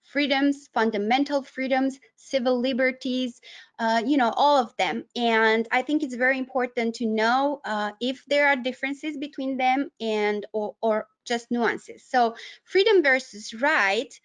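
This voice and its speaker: A woman with a Romanian accent presenting an educational webinar, explaining things in a halting way with frequent pauses mid-sentence. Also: high voice. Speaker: webinar presenter, human rights advocate.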